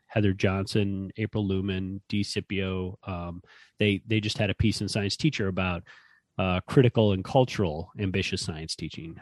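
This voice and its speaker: A man with a fairly low voice talks through ideas in a relaxed, thoughtful way, restarting phrases as he thinks. His humor is dry. The sound is dry and close, with no room around it. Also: dry recording. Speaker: podcast co-host. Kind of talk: casual conversation about teaching.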